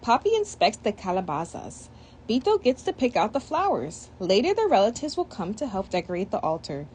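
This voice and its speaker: Lively woman reading a picture book. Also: medium pitch, brisk, slight background noise. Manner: reads with lively asides and commentary. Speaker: storybook reader. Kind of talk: children's picture book read-aloud.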